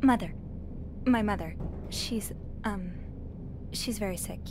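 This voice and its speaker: An actress playing a nosy young hotel maid in a narrative game, polite and a little hesitant. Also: female voice, mid-range voice, takes it slowly, noisy background, lightly acted. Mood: nervous, deferential. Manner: hesitant, polite, stammers when flustered.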